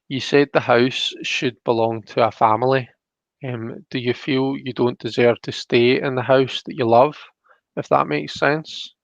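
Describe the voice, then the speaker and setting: A man's voice: mid-range voice, dry recording, lo-fi sound. A man hosting a casual tarot reading, explaining the cards in a hesitant, rambling way.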